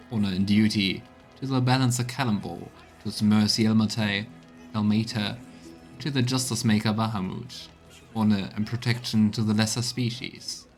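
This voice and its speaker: A male game streamer with a mid-pitched voice gives let's play commentary, moving between reading aloud and reacting to the game. Music is clearly audible behind him.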